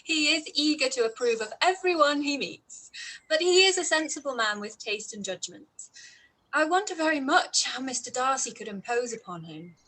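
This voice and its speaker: Inquisitive young gentlewoman played by a woman, arch and polite, high and lively, period radio drama. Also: normal speed, exaggerated voice, low-quality recording. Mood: curious. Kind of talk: period radio play live read.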